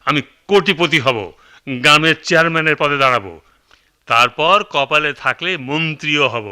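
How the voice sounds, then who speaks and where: A man's voice: on the high side, moderate pace, sharp tone, smooth. An actor playing a greedy, callous middle-aged man in a radio drama, blustering, scornful and boastful. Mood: boastful, scheming. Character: greedy, callous, ambitious older brother and husband.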